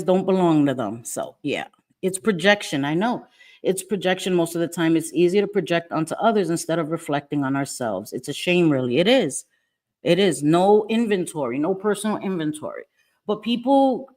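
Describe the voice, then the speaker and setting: A woman's voice: lowish voice, darkish tone. Emphatic, defiant middle-aged woman. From New York. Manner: emphatic, repeats phrases, defiant. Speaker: livestream host. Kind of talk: casual livestream chat, reading viewer comments. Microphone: webcam or phone mic, live stream.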